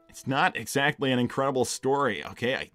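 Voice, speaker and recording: A man playing a cocky, laid-back, slightly dense young hedgehog hero in improvised live roleplay, talking casually and haltingly with plenty of filler words. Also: mid-range voice, a bit bright, dry recording, clean studio sound, lightly acted. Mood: defensive, reluctant. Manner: casual, halting, lots of "like" and "you know". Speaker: live stream character performer reading donor messages.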